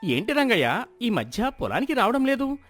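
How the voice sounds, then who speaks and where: A man's voice: extremely high pitch, sing-song, normal speed, a bit bright, faint music behind, exaggerated voice. A man playing a nosy, inquisitive village farmer in moral-story dialogue. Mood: prying.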